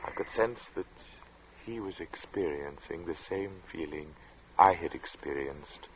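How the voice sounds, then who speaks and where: A man acting as an uneasy, introspective gentleman who narrates an eerie tale in 1940s radio suspense style, measured and literate. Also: a bass voice, slight background noise. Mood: watchful, measured. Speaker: narrator and protagonist. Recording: muffled old radio drama recording.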